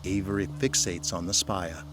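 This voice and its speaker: A man's voice: low voice, steady tone, normal speed, fairly bright tone, slight background noise. A man reading scripted dramatic narration for an audio drama in a descriptive storytelling manner.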